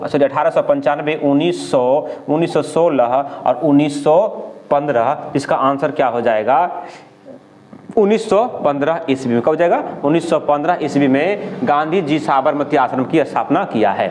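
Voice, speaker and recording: A man with a Bihari accent teaching an exam lesson, reading out questions and answers didactically and repeating himself with quick check questions. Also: mid-range voice, a little mellow. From India. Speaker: history teacher.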